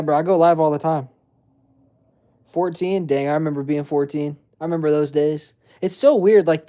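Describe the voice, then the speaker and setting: A man's voice: on the high side, warm tone. Young man, laid-back stream chatter. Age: 20s. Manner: rambling, filler-heavy slang. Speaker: livestream host. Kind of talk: casual livestream chat reading comments.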